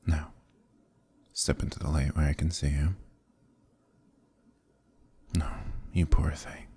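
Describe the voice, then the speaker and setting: A man playing a smugly menacing evil knight in an ASMR roleplay, speaking in a slow, intimate, condescending monologue with softly threatening delivery. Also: little pitch movement, exaggerated voice. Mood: coaxing, calm. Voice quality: very deep, gravelly, husky.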